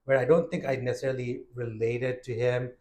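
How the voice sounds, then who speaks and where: A man's voice: medium pitch, flat delivery, a little fast, reverberant. A man hosting a casual interview, talking reflectively with many fillers, whose first language was Urdu. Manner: warm affirming, frequent fillers. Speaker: podcast host.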